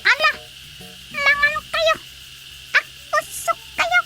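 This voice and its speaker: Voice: very high-pitched, level tone, slow pace, music in the background. An actor playing an insistent comic character in an Ilocano radio drama comedy, scolding and urging. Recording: very noisy radio drama with animal sounds.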